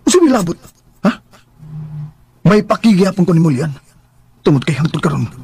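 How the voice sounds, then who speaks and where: An intense, wounded man nursing a grudge. His voice sits a little high with a husky edge, delivered as emotional radio drama. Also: big pitch swings, moderate pace, a little mellow, exaggerated voice. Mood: angry, resentful. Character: wounded, resentful man named Jerry, a returned overseas success.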